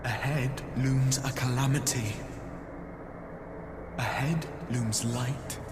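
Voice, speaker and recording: A man playing a mysterious, solemn prophet who foretells doom in a video game cutscene, slow and portentous. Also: mid-range voice, fairly even intonation, background noise, lightly acted. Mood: ominous. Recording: echoing voice under loud music.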